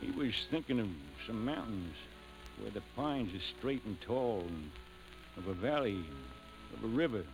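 An actor narrating an old radio drama as a reverent storyteller, in a poetic, measured cadence. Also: male voice, fairly low pitch, animated, vocal fry, music in the background, poor audio. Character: reverent storyteller of a war hero.

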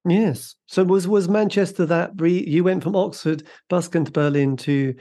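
A man's voice: high voice, fairly even intonation, moderate pace, dark tone. A man interviewing a guest, asking questions and chiming in briefly. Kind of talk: conversational interview.

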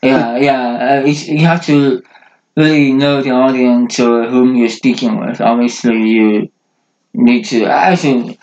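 A man's voice: mid-range voice, steady tone, measured pace, a little mellow, highs cut off. A man, a podcast co-host, chatting casually in a rambling, joking way with many restarts. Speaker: podcast co-host, multisport athlete. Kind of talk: casual chat.

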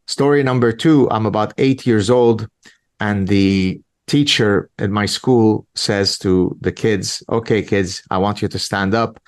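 Emphatic lecturing man, native Arabic speaker. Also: low-pitched. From Lebanon. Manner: emphatic, lecturing, with rhetorical questions. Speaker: guest commentator. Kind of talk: interview answer, storytelling.

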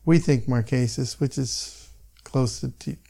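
An older man from Hawaii with a Hawaiian accent, a podcast guest talking in a slow, musing way that trails off into soft asides. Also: mid-range voice, vocal fry. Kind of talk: casual interview chat.

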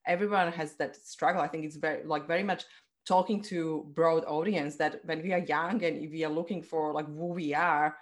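Woman, interview host, slightly low voice, non-native English phrasing, encouraging, affirming, casual conversational style. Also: steady tone, a little fast.

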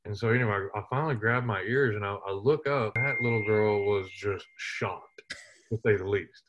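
Man in his thirties, humorous storyteller, low voice, muffled recording. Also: moderate pace, noisy background. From West Texas. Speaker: interview guest, military veteran. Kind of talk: storytelling in casual interview.

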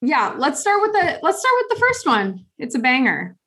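A woman co-hosting a podcast in a casual chat, animated, laughing often and talking with many fillers. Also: high voice, moderate pace. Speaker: podcast co-host.

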